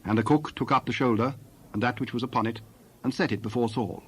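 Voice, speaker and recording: Measured male scripture narrator. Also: mid-range voice, a bit bright, slight background noise.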